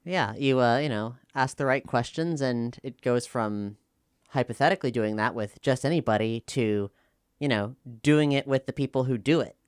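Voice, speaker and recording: Male podcast host, smooth and clear mid-range voice, chatty explainer, very dry sound. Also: mid-range voice, normal speed, no room sound. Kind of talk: casual podcast discussion.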